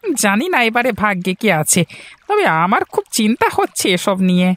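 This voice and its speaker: A woman's voice: a little high, very animated, moderate pace, fairly bright tone, dry recording. A woman voicing a worried, gloomy older male village farmer with a fretful, folksy manner, in a children's cartoon.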